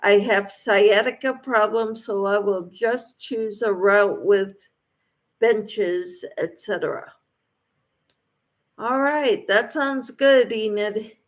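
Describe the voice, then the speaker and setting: A woman's voice: medium pitch, takes it slowly. A woman hosting a casual livestream, reading out viewers' comments and replying to them in an encouraging way. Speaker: livestream host.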